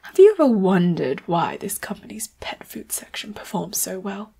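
A woman playing a seductive, secretly murderous leopard-hybrid boss who is tender toward the listener, in an intimate audio roleplay, breathy and often whispering, with a coy, teasing manner. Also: medium pitch, big pitch swings, normal speed, warm tone, full character voice. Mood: sly, menacing.

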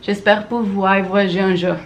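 A woman's voice: medium pitch, level tone, measured pace. A young woman of eighteen introduces herself in a careful, practised way from her bedroom desk. The room rings around her, and the camera sits a little way off, with a faint hiss underneath. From Brazil. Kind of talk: short self-introduction to camera. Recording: echoey room, mic a bit far.

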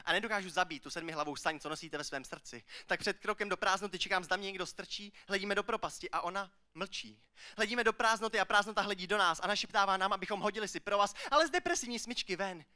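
High, bright-voiced man performing slam poetry. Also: clear, resonant tone. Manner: declamatory, emphatic, with rises and hushed line endings. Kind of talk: slam poetry recital, rhythmic and rhymed. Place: on stage at a slam poetry final.

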